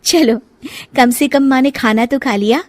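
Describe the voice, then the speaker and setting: महिला की आवाज़ में प्यार से समझाती जवान बहू. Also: female voice, sits high, unhurried, no room sound, voice pushed up. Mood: relieved. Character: caring young daughter-in-law Nisha, gently chiding. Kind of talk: cartoon moral story character acting.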